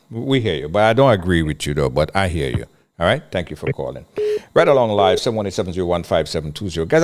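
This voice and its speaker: A man's voice: on the low side, darkish tone. A man hosting a call-in radio show, talking emphatically and repeating his points. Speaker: radio talk show host.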